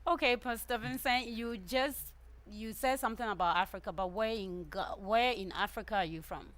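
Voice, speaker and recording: A woman's voice: fairly high pitch, moderate pace, a bit bright. A woman hosting an interview, asking short questions and recapping the guest's answers. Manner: brief acknowledgments, recaps answers.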